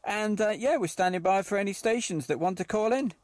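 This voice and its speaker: Guy controlling a cb radio net, higher voice, hesitant, really dry sound. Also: brisk, a little mellow, dry recording. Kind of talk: amateur CB radio chat.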